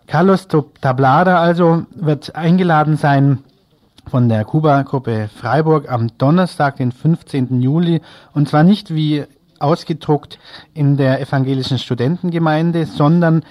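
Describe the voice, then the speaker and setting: A man's voice: fairly high pitch, unhurried, a little mellow. A man presenting on local radio, reading out event announcements from a prepared text.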